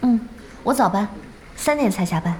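An actress playing a calm, competitive young female gamer in an audio drama, brief and lightly playful. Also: mid-range voice, moderate pace, background noise, close to an everyday voice. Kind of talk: Chinese audio drama acting.

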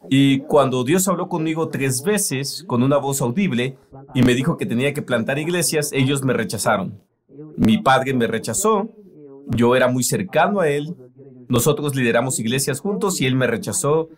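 A man preaching a sermon in an earnest, emphatic way, voicing it as a translation. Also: medium pitch.

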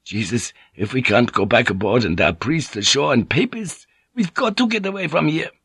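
A man's voice: mid-range voice, lively intonation, moderate pace, fairly bright tone, slightly rough, no room sound, clean studio sound, voice pushed up. A man voicing a terrified sailor in an audiobook story, with a trembling, pleading voice. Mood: panicked.

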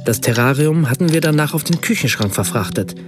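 A man's voice: on the high side, lively intonation, a little fast, over music, natural voice. An actor playing an observant writer, a man who recounts his memories precisely as radio play narration. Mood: measured recollection. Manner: precise, literary storytelling in reported speech. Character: German writer narrating his Italian summer, observant, measured.

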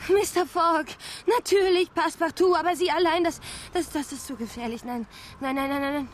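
A woman's voice: high-pitched, normal speed, a little hiss or hum, lightly acted. An actress as an anxious young woman traveler. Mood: distraught. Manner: pleading, stammering. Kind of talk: radio drama acting.

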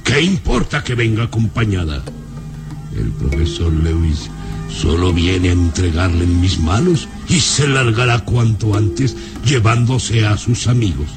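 An actor playing a sinister, imperious baron in an old-time radio drama, brooding and contemptuous. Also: male voice, on the low side, very animated. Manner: imperious, brooding, contemptuous, drawn-out deliberate phrases. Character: sinister, scornful baron, a commanding father. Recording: music under the voice. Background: dramatic music.

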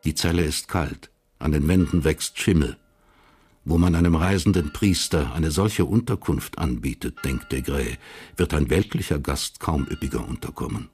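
A man narrating a German historical crime radio drama as a sober storyteller, measured and matter-of-fact. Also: very deep voice, darkish tone, slightly rough, heavily acted.

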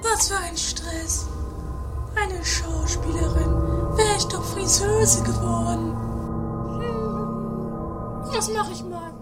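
Very shrill, melodramatic diva. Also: female voice, steady tone, slow, long pauses, over loud music. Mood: weary, self-pitying. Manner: theatrical whining and lamenting, haughty. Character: melodramatic, nervy female film actress, vain and scatterbrained. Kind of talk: amateur comedic radio play acting.

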